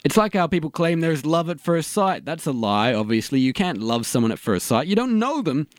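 A man's voice: a little high, very animated, fairly quick, no echo. Guy playing a dour morbid thinker type for an audio comedy, dry sound, voice goes up and down a lot. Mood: morbid, musing. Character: gloomy philosophical man, morbid and bluntly tactless, called DM. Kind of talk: scripted comedy audio drama.

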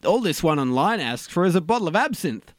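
A man's voice: sits high, big pitch swings, brisk, no room sound, clean studio sound. A man playing an eager, casual sidekick in a comedy radio play, with a chatty manner. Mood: eager, flippant.

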